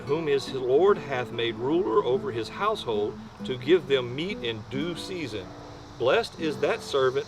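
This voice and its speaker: A man reading the Bible as an amateur reader, emphatic with the scripture and plainspoken in informal asides. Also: medium pitch. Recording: guitar music behind the voice. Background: plucked guitar music.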